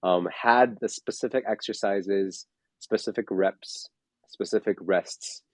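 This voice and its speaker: Low voiced guy in his 40s, fitness coach chatting, lots of ums, dry close sound. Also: takes it slowly, no room sound. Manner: rambling, filler-heavy, restarts often. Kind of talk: casual panel chat.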